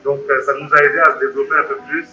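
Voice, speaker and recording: A man's voice: medium pitch, little pitch movement, very fast, sharp tone, distant mic, soft background music. A man from Côte d'Ivoire, speaking casually in an interview and often repeating words as he searches for them. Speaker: restaurant owner being interviewed.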